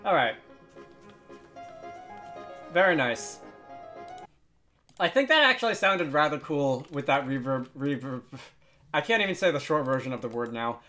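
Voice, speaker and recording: A man hosting a casual software tutorial, thinking aloud hesitantly and adding wry, self-deprecating asides. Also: on the high side, big pitch swings, unhurried, pauses often, faint music behind. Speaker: tutorial host.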